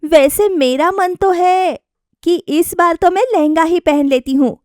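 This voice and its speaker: A woman's voice: extremely high pitch, unhurried, darkish tone, dry recording, studio quality, pitched above the performer's own voice. A woman voicing a wistful young daughter-in-law eager to please, earnest and plaintive, in a story. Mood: eager, dutiful.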